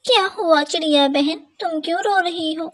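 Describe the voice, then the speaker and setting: A woman voicing a kind young female sparrow who talks in a lively, concerned way. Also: very high voice, moderate pace, pitched above the performer's own voice.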